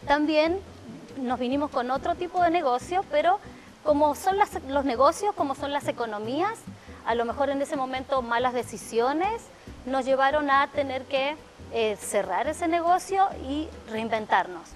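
Middle-aged woman, high voice. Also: expressive, moderate pace, a little hiss or hum. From Argentina (Mendoza). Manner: reflective, storytelling, frequent fillers. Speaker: guest, salon owner. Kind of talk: television interview. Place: TV studio living-room set.

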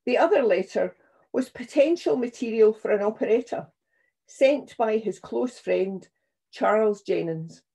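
Slow, mellow, husky older woman preacher. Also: fairly high pitch, expressive. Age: 60s or older. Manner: solemn, measured, deliberate phrasing. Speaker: minister leading a church service. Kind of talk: scripture reading and sermon. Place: small room at home, indoors.

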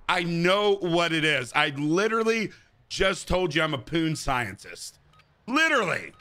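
A man's voice: on the high side, pushed and bright. A man in his thirties live-streaming a game, bantering crudely with chat and swearing often. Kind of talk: casual live-stream chat while gaming. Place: indoor room at a gaming chair.

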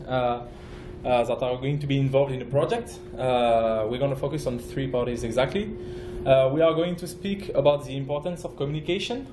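A man's voice: medium pitch, warm tone. French guy giving a conference talk, lots of uhs and restarts, roomy echo and background noise. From France. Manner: halting, frequent fillers and restarts. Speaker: project manager, conference speaker. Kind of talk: conference presentation. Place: conference room.

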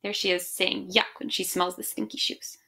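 A woman's voice: mid-range voice, little pitch movement, moderate pace, creaky, pushed and bright. A young adult woman reading a picture book aloud expressively and chatting casually to children from a small room at home. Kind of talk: animated read-aloud and casual address to children.

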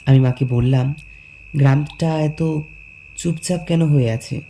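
A man narrating a scripted first-person story in measured phrases. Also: medium pitch, mellow, a little hiss or hum. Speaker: narrator and lead character.

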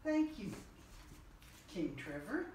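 A woman's voice: mid-range voice, big pitch swings, slow pace, a little mellow. A middle-aged woman speaks as a visiting librarian in a children's skit. She is picked up from afar in a strongly echoing room, with faint noise. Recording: echoey room, distant mic, faint noise.

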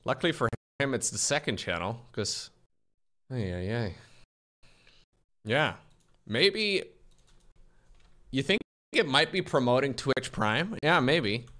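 A young man live-streaming from his room, talking to chat with a bouncy, animated delivery. He jokes around and reacts freely, his pitch sweeping up and down as he goes. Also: slow, pauses often. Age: young adult. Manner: lively, joking, reads chat aloud. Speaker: streamer editing a video. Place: small room at home, indoors. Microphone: desk mic, wearing headphones, webcam framing.

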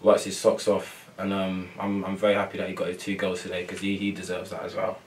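A young man and footballer, soft-spoken and full of ums and repeats, answering post-match questions in a press room. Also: sits low, monotone, quick pace, far from the mic. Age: young adult. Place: press room before a sponsor board.

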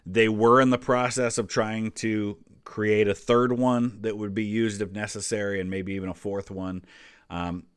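A man in his forties with a fairly low voice talks through history as a reacting video host. He is conversational and explanatory, putting weight on key answers. The recording is very dry, with no room sound. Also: flat delivery, normal speed, smooth, dry recording. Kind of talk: casual explanatory reaction commentary.

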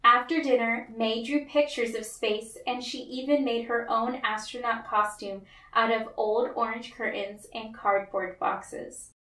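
A woman reading a picture book aloud to children, with deliberate, expressive storytelling narration. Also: fairly high pitch. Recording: roomy, echoey, distant mic.

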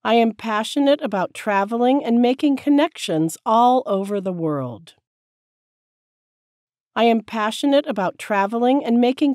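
A woman teacher reading short sentences slowly and clearly, each one said several times for learners to repeat. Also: fairly high pitch, a little mellow, dry recording, studio quality. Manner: careful, clear enunciation. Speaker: English teacher. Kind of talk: slow repeated drill sentences.

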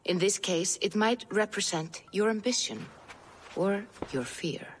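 Woman playing mystical female seer, Norse, very unhurried, portentous, solemn, game cutscene, medium pitch. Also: slight background noise, natural voice. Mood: solemn, foreboding. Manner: measured, portentous, oracular. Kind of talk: dramatic video game cutscene acting.

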